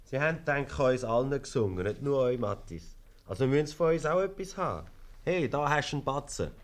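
An actor playing a proud guild baker in a radio comedy, hearty and teasing, with a Swiss accent. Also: male voice, medium pitch, animated, brisk, lightly acted. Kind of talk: 1950s radio comedy acting.

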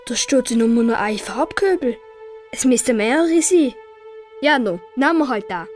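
A woman as a high-voiced stubborn Swiss German schoolboy. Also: expressive, normal speed, faint music behind, natural voice. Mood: puzzled, determined. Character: young schoolboy, stubborn secret would-be superhero. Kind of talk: audio drama acting for children.

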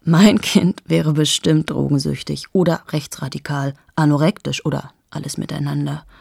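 A woman's voice: a little mellow, dry recording, studio quality, heavily acted. An actress in her thirties playing a cold, cynical journalist in a radio play monologue, confiding and often dropping to a bitter whisper. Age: 30s. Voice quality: husky, gravelly low voice.

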